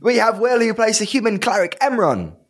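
A man running a tabletop game podcast, introducing the players with loose, joking banter. His pitch swings up and down a lot as he talks, sitting a little high. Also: sing-song, fairly quick. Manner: jokey, rambling, stumbles over names. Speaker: dungeon master and host.

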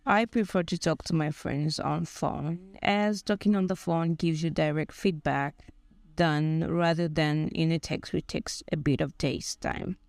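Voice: medium pitch, steady tone, measured pace, vocal fry, dry recording. An exam candidate from Zambia with a Zambian accent, giving careful, formal answers.

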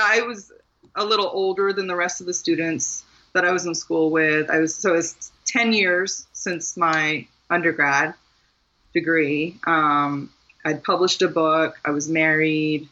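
Brief: female author guest, bright and forceful, rambling interview answers with frequent laughs, muffled lo-fi audio. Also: low-pitched, unhurried. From Maryland, USA. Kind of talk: casual interview talk.

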